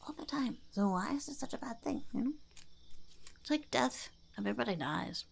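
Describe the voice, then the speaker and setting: A woman voicing a blunt, cheerfully cynical female goblin in an ASMR roleplay, musing and muttering in a creaky, breathy half-whisper. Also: fairly high pitch, animated, moderate pace, heavily acted. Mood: musing, matter-of-fact.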